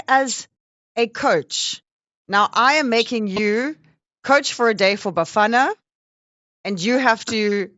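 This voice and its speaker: A woman hosts a casual interview in a mid-pitched voice. She is chatty and playfully teasing. The sound is very dry and a bit dull. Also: fairly bright tone, no echo. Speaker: sports show host, interviewer.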